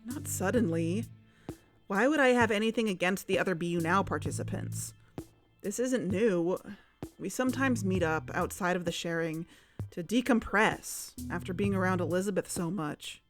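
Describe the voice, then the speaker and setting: A woman acting a guarded, thoughtful young investigator in a naturalistic audio drama, speaking in a measured, hesitant, introspective way. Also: lowish voice, soft background music, lightly acted. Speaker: podcast narrator and lead character. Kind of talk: naturalistic audio drama, reflective narration and dialogue.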